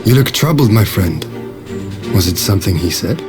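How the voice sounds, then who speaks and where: A composed rebel lord, acted by a man in a fantasy game, low and formal. He speaks in measured, burdened phrases with music playing behind him. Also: expressive, natural voice. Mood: concerned. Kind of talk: video game cutscene acting.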